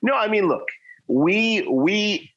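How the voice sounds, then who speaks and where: A man's voice: sits high, animated, takes it slowly, fairly bright tone. A man with a Trinidadian accent, co-hosting a podcast in talkative casual chat. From Trinidad and Tobago. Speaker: podcast co-host. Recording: muffled lo-fi podcast audio.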